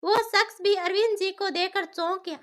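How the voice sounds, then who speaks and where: A woman's voice: very high-pitched, fairly even intonation, normal speed, fairly bright tone. A woman reading a scripted dramatic story as its narrator.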